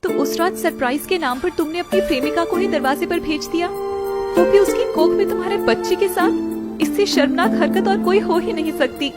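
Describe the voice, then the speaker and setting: A woman's voice: extremely high pitch, normal speed, over loud music. A woman voicing an outspoken, scolding young female friend in an audio story. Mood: indignant.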